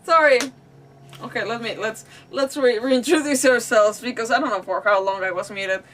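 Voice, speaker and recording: Female streamer, higher register, loose unscripted chatter, apologetic and self-mocking. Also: moderate pace, a bit bright, far from the mic, a little hiss or hum. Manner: rambling, self-deprecating, apologetic. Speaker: livestream host. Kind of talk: casual live stream chat.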